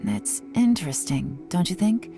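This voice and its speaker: A woman's voice: lowish voice, lively intonation, measured pace. A woman voicing a shrewd, self-assured investigator in a video game, composed and knowing as she reasons. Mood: analytical, confident. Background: game music.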